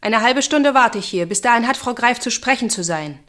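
An actress playing a self-assured, warm-hearted young typist in a German radio play, speaking directly and decisively. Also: female voice, fairly high pitch, expressive, fairly quick, background noise, natural voice. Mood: firm, imperious. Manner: direct, decisive, clipped sentences. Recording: music behind the voice.

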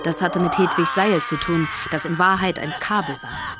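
A woman's voice: lowish voice, normal speed, heavy background noise, lightly acted. A woman narrating a children's audio drama as a scripted storyteller.

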